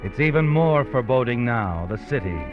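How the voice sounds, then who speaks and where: A man's voice: mid-range voice, expressive, fairly quick, close to an everyday voice. An actor voicing an ominous male narrator who speaks to the hero in brooding second-person narration, in a 1950s radio drama. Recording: lo-fi 1950s radio recording with music under.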